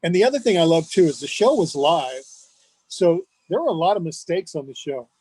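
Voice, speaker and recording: A man with a fairly high voice talks as an interview guest, reminiscing in a conversational way. The sound is very dry, with no room echo. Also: expressive, fairly quick, darkish tone, slightly rough. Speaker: interview guest, longtime fan.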